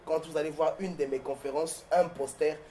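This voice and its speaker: A pastor from southern Congo-Brazzaville, whose first language is Mbembe, answering interview questions in a declamatory, preacherly way with frequent hesitations. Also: male voice, fairly high pitch, fairly even intonation, moderate pace, dark tone, a little hiss or hum. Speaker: pastor and guest. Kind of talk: interview answers turning to a prayer.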